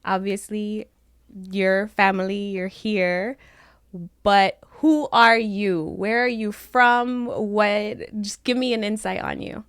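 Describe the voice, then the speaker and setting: A woman's voice: medium pitch, fairly even intonation, takes it slowly, a bit bright, clear, resonant tone, no room sound, studio quality. A woman hosting a casual interview, asking questions and laughing along with her guest. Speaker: host, interviewer.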